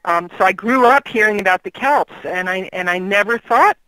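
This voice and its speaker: A woman's voice: mid-range voice, moderate pace, pushed and bright, no echo, poor audio. A middle-aged woman guesting on an interview show, chatting in a rambling way with fillers and easy laughs. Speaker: guest author. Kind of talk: casual interview chat.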